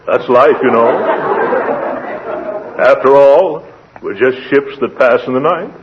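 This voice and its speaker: A man playing a pompous, fussy, excitable neighbor in 1940s radio comedy, florid and full of exclamations. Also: mid-range voice, slow pace, a crowd in the background, natural voice. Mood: sentimental. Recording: muffled, noisy 1940s radio recording.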